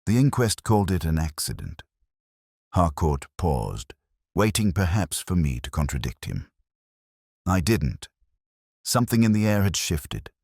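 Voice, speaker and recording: A man narrating a scripted first-person detective story in a measured, reflective, literary manner. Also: low voice, big pitch swings, gravelly, a little mellow, dry recording, clean studio sound.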